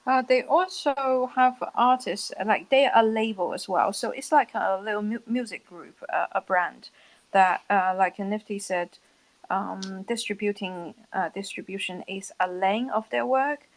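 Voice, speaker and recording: Female co-host, medium pitch with marked vocal fry, measured, unscripted explanation. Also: low-quality recording. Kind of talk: casual unscripted group discussion. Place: live online audio chat room.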